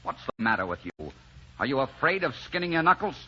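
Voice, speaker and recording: A man's voice: medium pitch, big pitch swings, fast talker, pushed and bright, husky, full character voice. A man acting a stubborn, protective romantic hero in an old radio drama, with emphatic, insistent delivery. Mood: defiant. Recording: dull, noisy old radio recording.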